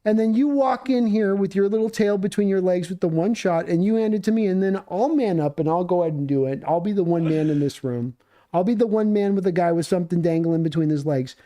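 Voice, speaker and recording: High-pitched man, teasing and rambling. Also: fast, warm tone. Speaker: livestream host. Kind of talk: casual banter on a live sports watch stream.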